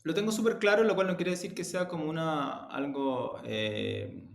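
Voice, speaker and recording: A man answering interview questions in a reflective, halting way, repeating words for emphasis. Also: a little high, vocal fry. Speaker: executive interview guest. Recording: roomy, echoing sound.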